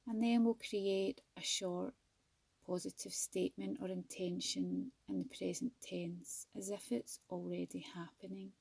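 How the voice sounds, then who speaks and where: Slow, breathy woman guiding meditation. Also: medium pitch, little pitch movement, no echo. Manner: soothing, gentle, measured with long pauses. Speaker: yoga nidra teacher. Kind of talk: guided meditation.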